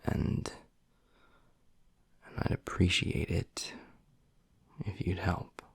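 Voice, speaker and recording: Casting: male actor as gruff young werewolf boyfriend for intimate audio roleplay, low-pitched, heavily fried voice, tender and breathy. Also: monotone, dry recording, heavily acted. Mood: firm, coaxing. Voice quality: husky, gravelly, breathy. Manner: low, halting, half-whispered delivery.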